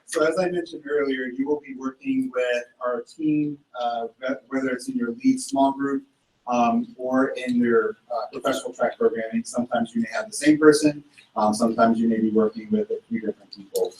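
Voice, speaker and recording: A man speaks from some distance in a large, echoing hall. He gives a formal welcome at a measured pace, with frequent "uh" and "um" fillers. His pitch is mid-range. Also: sing-song, a little mellow, a little hiss or hum. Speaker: program director introducing staff. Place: large hall at an orientation event. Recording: roomy hall, far from the mic.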